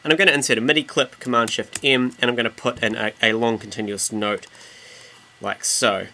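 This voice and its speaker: Male music software tutor, bright forward voice, informal, explanatory, rephrases as he goes. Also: mid-range voice, fairly even intonation, a little fast, slight background noise. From New Zealand. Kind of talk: casual screen-recorded software tutorial.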